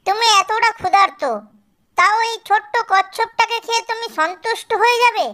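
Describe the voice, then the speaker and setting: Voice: extremely high pitch, fairly even intonation, fairly quick, bright, forceful tone, voice pushed up. A performer voicing a small, clever cartoon tortoise who coaxes and teases while feigning meekness. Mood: teasing, bold. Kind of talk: children's cartoon character voice.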